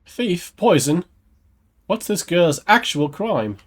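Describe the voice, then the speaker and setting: A man's voice: high-pitched, sing-song, takes it slowly. A man streaming a game, mixing casual commentary with reading his character's dialogue aloud. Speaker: gaming streamer voicing his player character.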